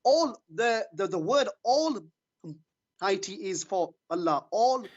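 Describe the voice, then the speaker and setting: A man's voice: sits high, animated, slow pace. A man from Asia calling into a debate, defensive and talking over the host. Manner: defensive, talks over the host, repeats words. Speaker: caller. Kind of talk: heated call-in debate. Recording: dull lo-fi call audio.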